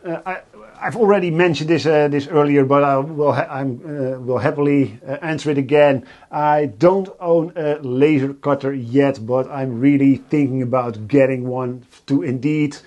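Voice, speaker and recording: A Dutch man with a Dutch accent, hosting a casual live stream from his workshop and talking in a halting way with many fillers and restarts. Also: mid-range voice, darkish tone, muffled recording. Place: home workshop.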